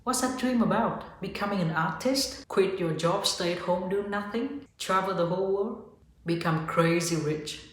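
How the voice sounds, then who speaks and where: A woman with a Vietnamese accent, a yoga teacher hosting her channel, calmly guiding the listener through reflective questions. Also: on the low side. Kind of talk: reflective self-help talk to camera. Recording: very echoey room.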